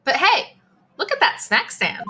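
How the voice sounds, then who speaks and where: A woman's voice: extremely high pitch, huge pitch swings, measured pace, noisy background, exaggerated voice. A woman playing a perky, naive and curious teenage girl sidekick in a live fan dub of a video game. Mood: excited.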